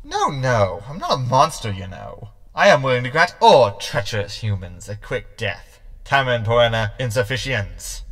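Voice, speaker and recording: A man's voice: medium pitch, sing-song, normal speed, a bit bright. A man playing a gleefully homicidal hologram with a theatrical, taunting manner in a science fiction comedy audio drama. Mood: menacing. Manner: theatrical, taunting, peppered with Latin phrases. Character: gleefully homicidal male hologram hunting humans.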